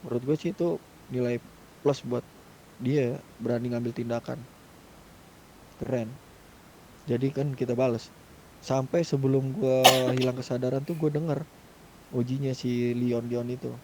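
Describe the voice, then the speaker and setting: A man's voice: mid-range voice, steady tone, moderate pace, pauses often, dark tone. A man explaining things casually in a gaming group voice chat, using street slang. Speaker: gamer in a roleplay crew. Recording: faint noise, muffled gaming voice chat.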